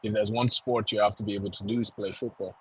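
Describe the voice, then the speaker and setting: A man's voice: on the low side, level tone, fast talker, husky, lo-fi sound. A man with a Nigerian accent chatting informally as an interview guest, often adding "you know" and "man". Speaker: soccer club founder, podcast guest. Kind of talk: casual interview chat.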